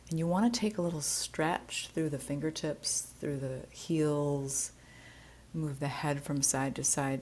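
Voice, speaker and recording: A woman teaching yoga nidra, leading a guided relaxation meditation in a gentle, soothing, unhurried manner. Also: low voice, slight background noise.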